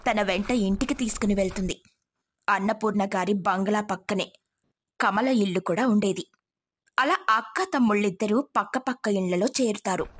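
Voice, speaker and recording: A woman's voice: mid-range voice, a little hiss or hum. A woman telling a moral tale as a scripted storyteller.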